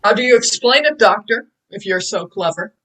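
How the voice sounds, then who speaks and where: A woman's voice: mid-range voice, animated, normal speed, a bit bright, rough recording. A woman playing a stern, sceptical male professor who challenges others in an amateur table read. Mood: challenging. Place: remote video call.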